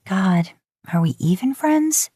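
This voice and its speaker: A woman's voice: medium pitch, sing-song, slow pace, dark tone, breathy edge, no room sound, voice pushed up, heavily acted. Casting: young expectant mother acted by a female reader, prickly and insecure, plaintive delivery, dry close recording, audio drama. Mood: sullen, hurt. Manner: defensive, plaintive. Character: emotional, self-doubting young pregnant woman, prickly. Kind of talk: acted dialogue in audio fan fiction.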